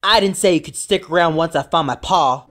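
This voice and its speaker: A woman's voice: sits low, brisk, fairly bright tone. A woman voicing a stubborn, surly teenage boy of the Old West in an amateur audio drama. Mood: irritated.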